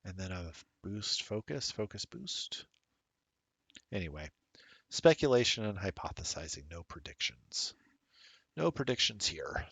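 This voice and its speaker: A man's voice: deep voice, big pitch swings, unhurried, vocal fry, long pauses, a bit bright, slightly rough, dry recording. A middle-aged man rambling casually while commentating a streamed tabletop game, self-deprecating and chatty.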